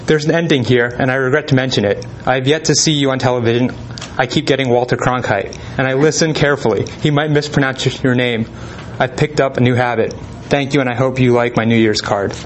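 A man giving an informal talk, reading letters aloud in a relaxed way with frequent pauses and ums. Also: mid-range voice, a little hiss or hum. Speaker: presenter.

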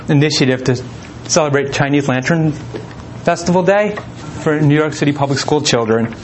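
A man giving an informal talk, reading letters aloud in a relaxed way with frequent pauses and ums. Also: mid-range voice, slight background noise, low-quality recording. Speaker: presenter.

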